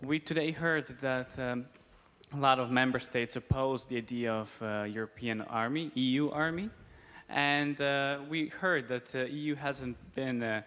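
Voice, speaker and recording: A man from Serbia asking a question from the audience floor, polite and formal, hesitating between phrases. His voice is mid-pitched. The long reverb of a big hall surrounds it. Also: measured pace, a bit bright, clear, resonant tone. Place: large conference hall.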